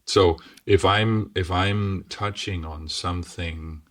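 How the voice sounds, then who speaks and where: A man with a deep, strong voice, a podcast guest explaining ideas earnestly and often checking in with "right?". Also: steady tone, slow, dry recording, distant mic. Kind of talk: casual explanatory conversation.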